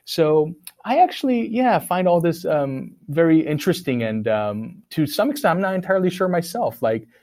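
A man's voice: on the high side, sing-song, moderate pace, a little mellow. A man whose first language is Korean, raised in Korea, talking through ideas in a casual academic discussion, with frequent fillers and restarts. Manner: thoughtful, many fillers and restarts.

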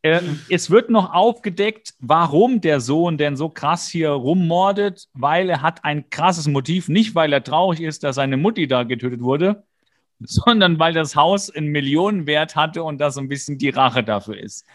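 Chatty man with a slightly high voice. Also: expressive, moderate pace. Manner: jokey, rambling, wry. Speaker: podcast co-host. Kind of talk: casual episode recap chat.

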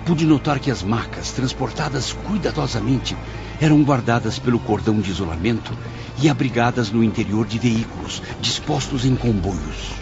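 A man's voice: medium pitch, expressive, normal speed, close to an everyday voice. A man narrating a radio drama in the first person, in a somber, literary manner. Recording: muffled, loud music bed.

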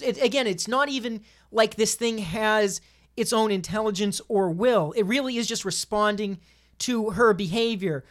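Female podcast panelist, mid-range voice, chatting casually about movies with firm opinions and emphasis. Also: mid-range voice. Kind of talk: casual film discussion.

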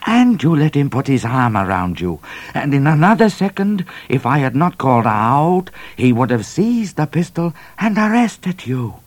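A man's voice: low voice, slow pace, vocal fry, few pauses, husky, dry recording, exaggerated voice. A man voicing a fierce male Siamese cat in a storybook reading, clipped and scolding.

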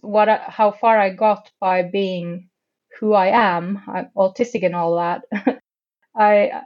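Slow-talking Norwegian woman, lo-fi sound. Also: medium pitch, steady tone. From northern Norway. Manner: laughs often, hesitant, self-mocking. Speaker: podcast guest. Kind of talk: casual interview chat.

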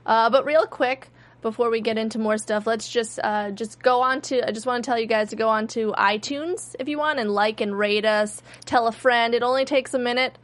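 A woman co-hosting a casual podcast. She rambles through an episode recap in relaxed, conversational phrasing, leaning on filler words as she thinks aloud. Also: a little high, moderate pace. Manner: chatty, trailing filler words. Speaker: podcast co-host.

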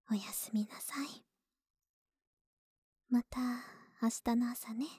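A woman acting a breathy, sleepy, affectionate young neighbor. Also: on the high side, no room sound, clean studio sound, heavily acted. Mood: tender, soothing, sleepy. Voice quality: soft, breathy, often whispered. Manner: slow, tender, coaxing, drawn-out drowsy words. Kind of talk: Japanese ASMR situation voice drama, intimate and soothing. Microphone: very close ASMR microphone, dry sound.

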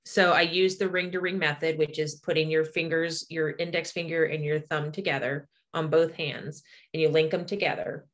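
A woman's voice: sits low, flat delivery, moderate pace, hardly any pauses, a bit bright. A woman in her forties talking casually and explaining her practice as an energy healer. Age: 40s.

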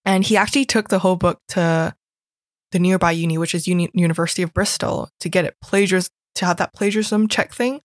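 Young woman from hong kong, lowish voice, rambly chatty storytelling, lots of "like". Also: steady tone, quick pace, dry recording, clean studio sound. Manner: chatty storytelling, heavy use of "like". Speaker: guest recalling school experiences. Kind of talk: casual chat.

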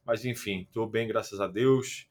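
Laid-back Carioca man with low voice. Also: steady tone, unhurried. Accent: Carioca Portuguese. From Rio de Janeiro, Brazil. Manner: rambling, many restarts and fillers like "é" and "cara". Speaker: podcast guest, football content creator. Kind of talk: casual podcast conversation.

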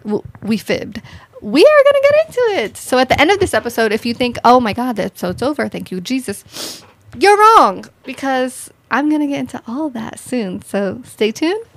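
Female podcast host, playful and theatrical in casual chat, a bit higher-pitched, recorded dry. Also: sing-song, normal speed, no room sound. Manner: playful, jokey, theatrical swings in voice.

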